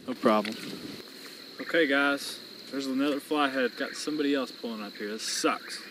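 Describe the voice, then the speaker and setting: A man's voice: mid-range voice, moderate pace, background noise. A young man hosting a fishing vlog from a riverbank, talking casually to the camera as he reels in fish. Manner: relaxed, thinking aloud while reeling in fish. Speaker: fishing channel host. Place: riverbank outdoors, day into night. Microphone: action camera on the body.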